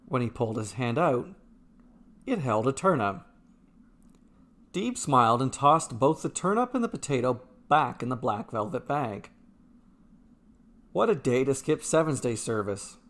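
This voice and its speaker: A man's voice: mid-range voice, big pitch swings, unhurried, long pauses, fairly bright tone. A man reading a scripted fantasy tale as its narrator. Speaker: narrator, storyteller.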